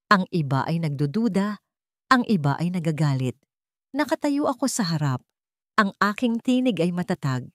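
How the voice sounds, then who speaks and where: A woman's voice: fairly low pitch, no echo, clean studio sound. A woman reading a scripted dramatic first-person story as a narrator. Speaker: storyteller of Tagalog romantic drama stories.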